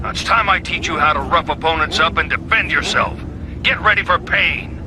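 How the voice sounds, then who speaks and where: A man's voice: on the high side, moderate pace, background noise, lightly acted. A man voicing a tough, bossy, no-nonsense racing coach in a video game. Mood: menacing, instructive.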